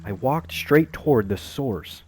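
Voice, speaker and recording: A man narrating a first-person adventure story in a measured, calm storytelling manner. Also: mid-range voice, a little hiss or hum. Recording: faint music behind voice.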